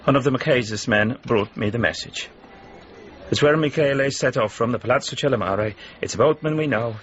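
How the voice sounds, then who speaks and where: An actor playing a guarded, worldly man in a naturalistic radio drama, speaking in a measured, matter-of-fact, knowing way. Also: medium pitch, muffled recording, a little hiss or hum, lightly acted. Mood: grave, guarded.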